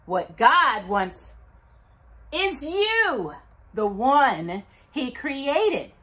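A middle-aged woman hosting a children's storytime, reading aloud in an expressive, deliberate, dramatic way with emphatic pauses. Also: high-pitched. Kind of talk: expressive read-aloud of Bible verses and a children's Christmas book. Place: living room at home, indoors by a brick fireplace.

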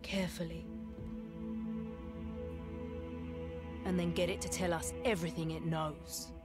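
A woman's voice: on the low side, level tone, fairly quick, loud music behind the voice, close to an everyday voice. A woman playing a tough, wry supersoldier commander in a video game, with a dry, confident, commanding delivery. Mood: grave, measured. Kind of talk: video game cutscene acting.

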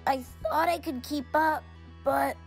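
A woman's voice: sits high, steady tone, a little slow, gravelly, faint music behind. A woman voicing a meek, apologetic little boy fox sidekick in a cartoon dub, timid and hesitant. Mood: dejected. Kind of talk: cartoon comic dub acting.

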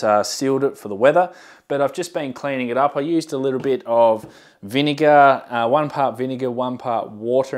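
A man in his thirties talking casually to camera as a hobbyist video host, giving a hands-on show-and-tell. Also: mid-range voice. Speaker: amateur radio hobbyist and channel host. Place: indoor workshop storeroom with shelving. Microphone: lapel mic.

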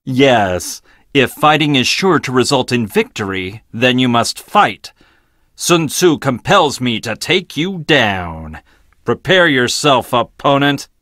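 An actor playing an arrogant, blunt, logical research scientist in a video game, with a clipped, dismissive, pedantic delivery. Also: male voice, mid-range voice, sing-song, slow, bright, forceful tone, dry recording, studio quality, heavily acted. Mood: solemn, challenging.

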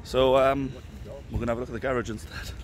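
A man in his thirties with a British accent and a hoarse voice, chatting casually to a handheld camera. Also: mid-range voice, steady tone, fast. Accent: British English. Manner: chatty, self-filming at arm's length. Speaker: vlogger. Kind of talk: casual vlog chat. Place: theme park outdoors and hotel room. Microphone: camera at arm's length. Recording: loud background noise.

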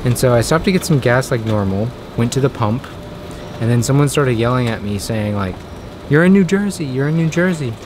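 A man's voice: mid-range voice, animated, a little fast. A man in his twenties talking casually as a travel vlogger. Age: 20s. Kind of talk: casual vlog storytelling. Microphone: camera at arm's length. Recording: clear background noise, on-location camera audio.

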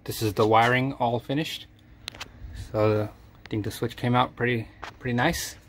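A man's voice: mid-range voice, steady tone, moderate pace, fairly bright tone, a little hiss or hum. A man narrating a home DIY project step by step in a plain, matter-of-fact way, with the odd filler. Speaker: DIY channel host. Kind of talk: casual DIY voice-over walkthrough.

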